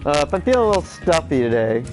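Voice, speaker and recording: A man's voice: a little high, very animated, fast, rough recording. Rambling, profane man in his thirties. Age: 30s. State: stuffy, short on sleep. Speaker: streamer. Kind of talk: casual gameplay chatter. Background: game music.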